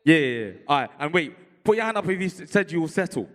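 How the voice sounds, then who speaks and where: Man, loud, rapid-fire, higher voice, reverberant room. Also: big pitch swings. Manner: loud, rapid, "you know what I'm trying to say". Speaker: debate participant.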